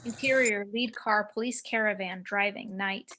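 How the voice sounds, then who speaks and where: A woman's voice: medium pitch, steady tone, moderate pace, noisy background. A woman reading screenplay action as narrator, with dramatic, clipped phrasing, recorded over a home video call.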